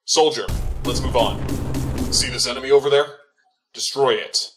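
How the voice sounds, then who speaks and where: A man's voice: mid-range voice, normal speed, over music, close to an everyday voice, poor audio. Man playing a commanding mech-game captain. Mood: firm. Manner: authoritative, urgent. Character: commanding older military captain, brisk and serious. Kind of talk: scripted game mission briefing.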